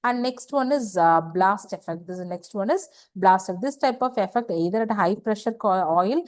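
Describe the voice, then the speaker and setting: A woman teaches technical material in a mid-pitched voice. She moves haltingly, repeats key phrases and poses questions that she answers herself. The sound is close and dry. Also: expressive, a little mellow, dry recording. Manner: halting, repetitive, asks and answers own questions. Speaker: engineering lecturer. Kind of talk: slide lecture explaining technical material.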